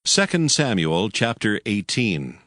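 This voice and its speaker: A man narrating scripture in a solemn, measured, formal style. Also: lowish voice, big pitch swings, a bit bright, faint music behind.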